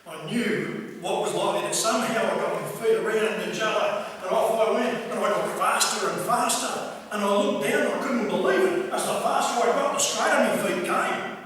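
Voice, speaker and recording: A man giving a humorous storytelling speech to a live audience, theatrical, with dramatic pauses and swells. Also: sits high, a bit bright. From Australia. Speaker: club speaker telling a tall tale. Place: large echoey room at a club meeting. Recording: very echoey hall from far off, faint noise.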